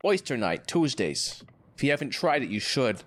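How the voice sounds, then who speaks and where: A man's voice: mid-range voice, big pitch swings, normal speed. A man acting a chipper, needling lawyer in an audio drama, breezy, sarcastic and boastful. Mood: animated, flippant.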